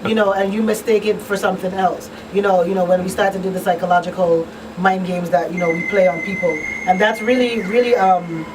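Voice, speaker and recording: A woman's voice: fairly low pitch, steady tone, a little fast. A woman hosting a radio talk show in a conversational style. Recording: distant mic, faint room noise.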